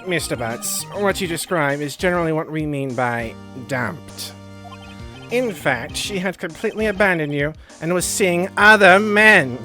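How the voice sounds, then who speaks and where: Man doing a sharp, smug courtroom prosecutor. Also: fairly high pitch, animated, a little slow, soft background music, voice pushed down. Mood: condescending. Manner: formal, condescending, accusatory. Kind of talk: video game role-play.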